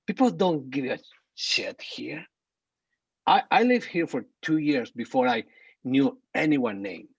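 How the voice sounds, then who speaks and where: Lackadaisical, dismissive army veteran, played by a man, raspy and slow-paced. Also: medium pitch, lively intonation. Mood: cynical. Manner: laid-back, dismissive. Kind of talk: screenplay table read. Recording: muffled, echoey room sound.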